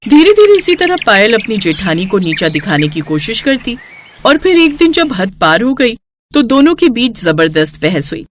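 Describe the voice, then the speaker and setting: A woman's voice: a little high, very animated, moderate pace, a little hiss or hum. A woman narrating a scripted moral story in a storyteller's style.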